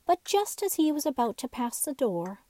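A woman reading a bedtime story aloud in a gentle, expressive way. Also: high-pitched, moderate pace, no room sound.

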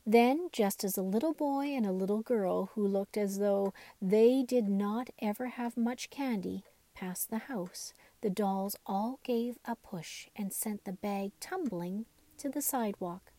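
A woman reads a children's bedtime story. Her voice sits in the middle of the female range and has a soft, mellow tone. The sound is dry, with little room around it. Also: medium pitch, a little slow, few pauses, no room sound. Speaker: storyteller reading a children's book. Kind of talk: scripted bedtime story narration.

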